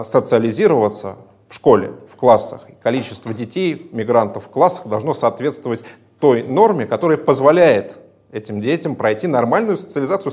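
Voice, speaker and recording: A man with a mid-range voice conducting an interview. He asks his questions in a measured, slightly hesitant way. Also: medium pitch, a little mellow.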